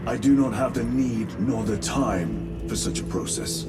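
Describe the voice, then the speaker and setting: An actor playing a stoic veteran space marine lieutenant in a video game, terse, formal and commanding. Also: male voice, mid-range voice, lively intonation, unhurried, close to an everyday voice. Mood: stern, resolute. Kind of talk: cinematic video game acting. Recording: long hall reverb, loud music.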